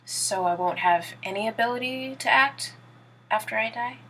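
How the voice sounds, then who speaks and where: Bright, husky, high-pitched young daughter. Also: female voice, moderate pace, rough recording. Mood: worried. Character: Dido, inventor's anxious, proud young daughter. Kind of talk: audio drama acting.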